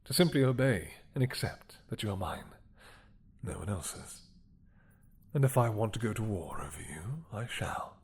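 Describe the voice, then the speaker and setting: A man playing a possessive, doting mad dragon king in a romantic roleplay drama, with a husky, breathy voice that often drops to a whisper and a regal, seductive manner. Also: fairly low pitch, lively intonation, exaggerated voice. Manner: slow, regal, seductive purring menace.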